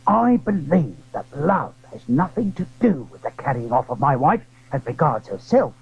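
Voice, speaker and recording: Through a slightly muffled old recording comes a man acting a worried, courteous landlord in an adventure audio drama. His husky voice is lively in pitch, earnest and confiding, with a halting delivery. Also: full character voice. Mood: secretive, earnest.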